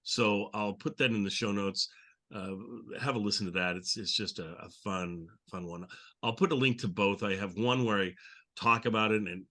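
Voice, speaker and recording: A man's voice: lowish voice, normal speed, bright, forceful tone. A man hosting a podcast in a casual, conversational style. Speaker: podcast host.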